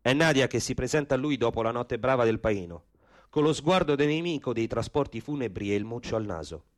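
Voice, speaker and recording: A man's voice: medium pitch, animated, fairly quick. A man narrating a literary story as an actor in radio theatre.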